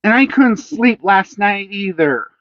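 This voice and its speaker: A storybook reader voicing an angry young boy who groans, shouts and snarls, in a high strained tone. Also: animated, a little slow, dry recording, highs cut off. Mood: sulky.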